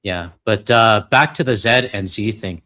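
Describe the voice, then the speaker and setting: A man's voice: on the low side, measured pace, sharp tone. A middle-aged Canadian man with a Canadian accent chatting casually on a live stream, rambling and self-deprecating with lots of fillers. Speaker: co-host reading donations.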